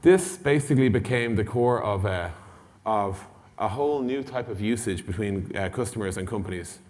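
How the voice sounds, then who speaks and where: Man, lowish voice, conference speaker, casual blunt delivery, product presentation, echoing hall. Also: normal speed, a little mellow. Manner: casual, blunt, mildly sweary, uses acted-out dialogue. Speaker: conference speaker, tech company executive. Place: large indoor conference hall. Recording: long hall reverb from conference stage.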